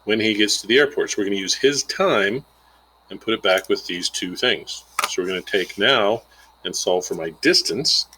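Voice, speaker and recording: A man's voice: fairly low pitch, moderate pace, pushed and bright, slight background noise, rough recording. A man giving an informal, plainspoken lesson, explaining a worked example step by step and thinking aloud as he calculates. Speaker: instructor.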